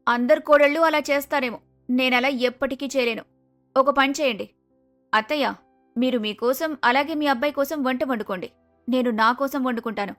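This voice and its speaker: A high-pitched, haughty young daughter-in-law from a cartoon moral story, acted by a woman. She is defiant and lazy, answering back in a dismissive, argumentative way. Music plays underneath. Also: brisk, fairly bright tone. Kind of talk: animated moral story dialogue.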